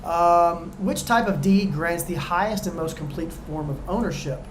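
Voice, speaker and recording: A man tutoring viewers through real estate exam questions in a casual, chatty way, joking and going a bit hoarse. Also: on the high side, moderate pace, echoey room, background noise. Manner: chatty, talks straight to viewers, jokey asides. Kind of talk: casual practice-exam walkthrough.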